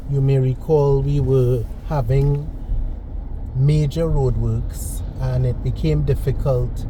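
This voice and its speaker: Slow, measured man narrating a drive. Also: medium pitch. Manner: measured and polite, with careful self-corrections. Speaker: driving tour host. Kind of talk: unscripted narration while driving. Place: inside a moving car. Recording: loud road and engine noise.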